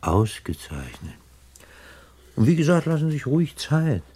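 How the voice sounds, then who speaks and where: An actor playing a middle-aged, smooth and fussily courteous gentleman crook in a German radio play, with ornate politeness and hesitant repetitions. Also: a little high, sing-song, moderate pace. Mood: oily, courteous.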